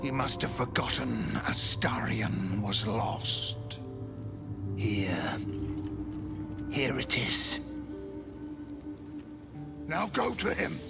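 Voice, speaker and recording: A sadistic undead servant from a video game, creaks out words at a very slow, savouring pace. The tone is mocking and sing-song, full of gloating menace, with music playing clearly behind. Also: male voice, mid-range voice, big pitch swings, exaggerated voice. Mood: sly, obedient. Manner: mocking, sing-song menace, speaks of himself in third person. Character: cruel, gloating old undead servant and torturer. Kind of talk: video game character acting.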